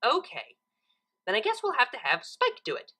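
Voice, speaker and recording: A woman's voice: on the high side, huge pitch swings, normal speed, bright, forceful tone, breathy edge, pitched above the performer's own voice, full character voice. A woman voicing a smug, bookish female pony who corrects others primly in a story reading. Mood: brisk.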